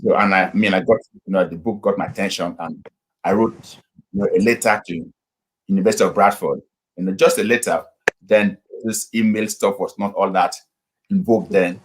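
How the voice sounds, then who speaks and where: Low-voiced husky man from Nigeria. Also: fairly even intonation, poor audio. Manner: unhurried, with frequent fillers and restarts. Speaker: pastor and guest. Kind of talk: casual interview storytelling. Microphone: video call.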